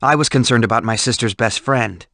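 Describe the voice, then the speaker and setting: An actor voicing an earnest, dutiful soldier in a video game, with a formal way of speaking. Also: male voice, mid-range voice, brisk, a bit bright, no room sound, clean studio sound, close to an everyday voice. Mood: sincere. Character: earnest, dutiful male soldier leading the front line.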